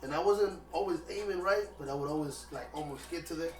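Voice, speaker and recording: First rapper guy talkin fast n loose, echoey room, music going behind him. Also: on the high side, recorded from a distance. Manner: fast, loose and emphatic, peppered with slang and swearing. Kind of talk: casual interview chat.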